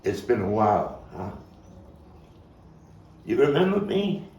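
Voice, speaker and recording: A man's voice: medium pitch, big pitch swings, slow, darkish tone, pitched above the performer's own voice. A man playing a disheveled, nostalgic drunk in a stage monologue, rambling and stammering with emphatic bursts. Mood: wistful, apologetic. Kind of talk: stage monologue at a table read. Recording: roomy, noisy, distant microphone.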